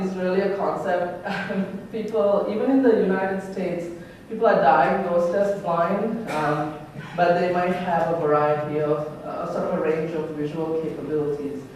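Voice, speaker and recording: A woman's voice: fairly low pitch, darkish tone, a little hiss or hum. A woman from Sri Lanka, a robotics researcher giving an earnest conference talk with many ums and uhs. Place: lecture hall. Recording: echoey hall from far off.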